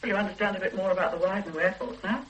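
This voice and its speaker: An actress in a radio drama playing a policeman's wife who teases him in a brisk way. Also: medium pitch, slight background noise, close to an everyday voice, low-quality recording. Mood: teasing. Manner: teasing and brisk. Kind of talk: 1980s radio drama acting.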